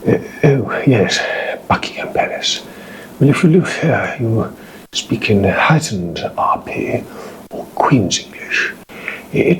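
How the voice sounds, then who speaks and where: A haughty, crude aristocrat drawls in heightened received pronunciation, put on by a man. The voice swoops widely and unhurriedly and drops into breathy whispers. Faint noise sits behind it. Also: medium pitch, voice pushed up.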